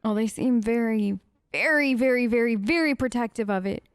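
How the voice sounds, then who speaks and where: A woman's voice: a little high, moderate pace, clear, resonant tone, dry recording, studio quality. A woman streamer role-playing her character in a game, chatty and emotive, with hesitant fillers. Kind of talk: role-play let's play chat.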